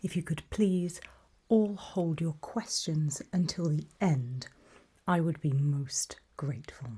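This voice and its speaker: Woman acting slow, whispering, faintly sinister briefing official. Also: deep voice, mellow, heavily acted. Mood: polite, composed. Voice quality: breathy whisper. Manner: slow, measured, politely ominous. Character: calm, faintly sinister official briefing people before alien zone. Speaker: ASMR roleplay performer. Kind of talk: whispered ASMR roleplay briefing.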